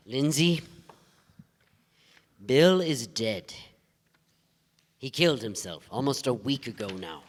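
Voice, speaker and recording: An actor playing a somber, anguished man who speaks gravely and haltingly in a live staged play reading. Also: mid-range voice, very animated. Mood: grave, urgent. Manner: grave, halting, emotionally pressing. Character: Joe, somber, anguished divorce investigator bearing bad news. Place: theatre stage before a live audience. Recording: live theatre stage, long hall reverb.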